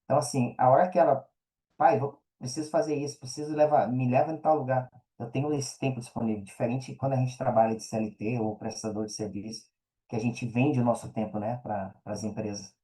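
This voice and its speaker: Mellow-voiced Brazilian man, casual and earnest. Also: medium pitch, steady tone, brisk, rough recording. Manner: colloquial, earnest, repeats phrases for emphasis. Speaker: podcast guest, affiliate marketer and mentor. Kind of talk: casual podcast conversation.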